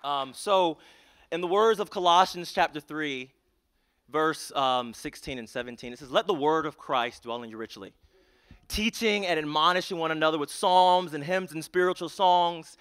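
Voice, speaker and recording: A man's voice: fairly high pitch, moderate pace, clear, resonant tone. A young man emceeing a concert in a large auditorium, speaking earnestly on a handheld mic. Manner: earnest, builds to rousing shouts, hushed prayer. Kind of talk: emcee introductions and public prayer.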